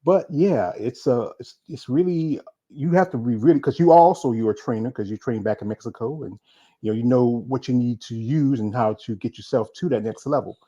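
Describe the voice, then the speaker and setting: Male interview host, relaxed dark tone, informal and rambling, a little muffled in recording. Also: medium pitch, brisk. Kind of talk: casual chat, reading out viewer comments.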